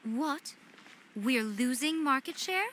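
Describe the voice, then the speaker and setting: A woman's voice: high-pitched, lively intonation, slow, fairly bright tone, a little hiss or hum, heavily acted. A woman voicing a poised, sly fox-spirit shrine priestess in an anime game, composed, teasing and a little condescending. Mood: surprised. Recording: clear music behind the voice.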